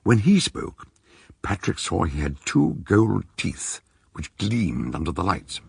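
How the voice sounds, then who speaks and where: A British man with a husky, gravelly voice, narrating a radio drama in a dramatic scripted style. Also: sits low, big pitch swings, takes it slowly, no echo, clean studio sound.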